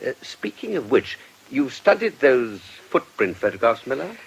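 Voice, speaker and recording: An actor playing a genial, erudite gentleman detective in a radio drama, courtly and precise as he reasons aloud. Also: mid-range voice, sing-song, normal speed, breathy edge, low-quality recording. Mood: reasoned, probing.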